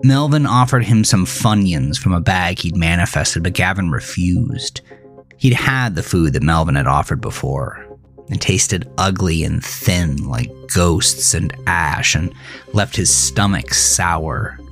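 A man reading a scripted horror thriller story in a measured, deliberate way. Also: low-pitched, lively intonation, a bit bright. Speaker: narrator. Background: music.

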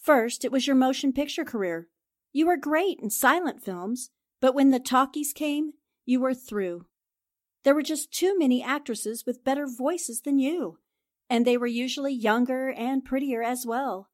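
Woman playing a high-voiced, assertive lady reporter sleuth. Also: unhurried. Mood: accusing. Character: sharp, confident young female newspaper reporter sleuth. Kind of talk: radio drama acting in 1940s style.

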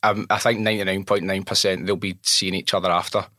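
A man's voice: deep voice, little pitch movement, fast, sharp tone, no echo, studio quality. A man with a Scottish accent hosting a podcast, moving between scripted introductions and casual chat. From Scotland. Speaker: podcast host.

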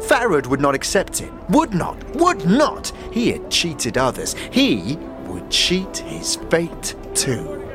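Crazed beggar storyteller, wildly swooping and creaky. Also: male voice, fairly high pitch, huge pitch swings, heavily acted. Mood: conspiratorial. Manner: halting, repeats phrases, erratic swings. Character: crazed, ragged old male beggar storyteller, cryptic and theatrical. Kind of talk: theatrical audio drama storytelling. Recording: music under the voice.

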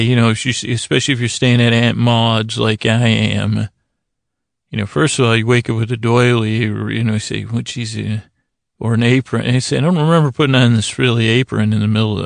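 Gravelly guy doing a rambly bedtime podcast, lots of tangents n sorrys, kinda whimsical, real dry sound. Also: medium pitch, flat delivery, no echo. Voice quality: creaky, gravelly. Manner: meandering, halting, trails off into tangents and self-corrections; whimsical, apologetic. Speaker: podcast host.

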